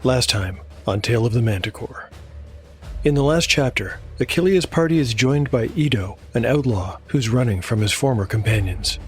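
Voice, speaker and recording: A man hosting and narrating a scripted dark fantasy tale in a measured, solemn storytelling manner. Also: medium pitch, expressive. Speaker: host and narrator. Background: background music.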